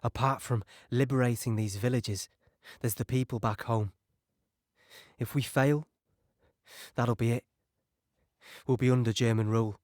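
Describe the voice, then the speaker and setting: An actor playing a sombre, conscience-troubled British soldier, giving an earnest, reflective first-person account in a wartime audio play. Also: male voice, medium pitch, fairly even intonation, unhurried, pauses often, darkish tone, no echo, studio quality, natural voice.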